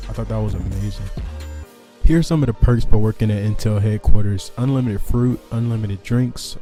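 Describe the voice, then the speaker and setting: A man in his twenties giving casual vlog narration as an intern showing people around. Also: on the low side. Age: 20s. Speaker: vlogger, intern. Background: instrumental music.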